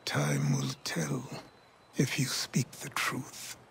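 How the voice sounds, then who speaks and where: An actor playing a grim, cynical warrior, measured and distrustful, in a video game drama. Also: male voice, medium pitch, flat delivery, gravelly, a bit bright, a little hiss or hum, exaggerated voice. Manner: measured, sceptical.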